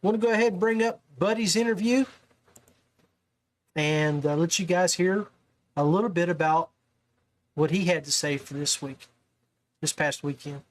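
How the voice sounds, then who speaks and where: A man's voice: on the high side, normal speed, pauses often. A man hosting a casual sports podcast interview, talking in a chummy, familiar way with guest and listeners.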